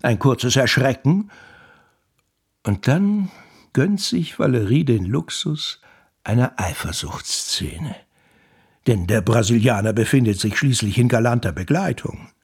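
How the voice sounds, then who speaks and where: Radio drama author-narrator: proud, wry, confiding, heavily rasping mid-range voice. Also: male voice, medium pitch, expressive, a little slow. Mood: knowing, dramatic. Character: proud, wry novelist narrating his own story.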